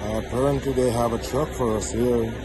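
A man's voice: medium pitch, fairly even intonation, a little fast, heavy background noise. A man in his forties with a Jamaican accent, a truck driver talking casually to his phone with devout, repetitive Rastafarian phrasing. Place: in and around truck cabs and roadside. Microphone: handheld phone at arm's length.

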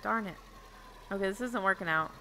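A woman's voice: medium pitch, fairly quick, background noise. A young woman streaming a farming game over a headset, chatting casually and giggling as she thinks aloud. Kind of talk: casual gameplay chat. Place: home room indoors.

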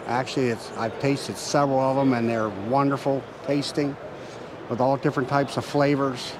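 A man hosting a walking tour of a convention hall, narrating in a relaxed, plain-spoken way while filming. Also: mid-range voice, normal speed. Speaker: channel host. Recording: noisy trade show hall.

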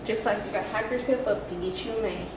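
A woman's voice: medium pitch, fast. A woman, chatting casually in a blunt, self-assured way as a stylist at work indoors. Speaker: hairstylist and makeup artist. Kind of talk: casual chat. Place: small room indoors, off camera. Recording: distant echoey mic, clearly noisy room.

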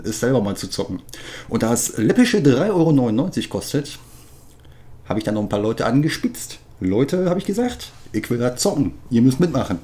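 A man in his thirties hosting a casual gaming video from a home desk, animated and jokey, swearing when flustered. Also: mid-range voice. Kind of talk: casual gaming chat with friends.

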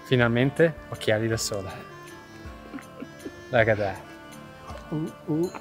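A man's voice: mid-range voice, expressive, measured pace. A man in his thirties with an Italian accent, a native Italian speaker, chatting playfully on an outdoor travel vlog. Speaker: travel vlogger. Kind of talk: casual vlog chat. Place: outdoors in a hilltop town. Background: faint background music.

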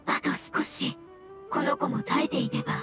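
A woman voicing a gentle, solemn mushroom spirit in an anime game, speaking formally and with earnest courtesy. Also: moderate pace, lightly acted. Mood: sorrowful. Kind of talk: Japanese anime game voice acting. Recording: game music behind.

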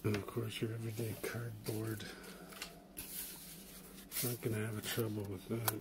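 Man, fairly low voice, casual rambling demo, background hiss and handling clicks. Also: normal speed. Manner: relaxed and rambling, with chuckles and filler words. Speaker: channel host reviewing a pocket knife. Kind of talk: casual product demonstration. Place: indoors at a wooden table.

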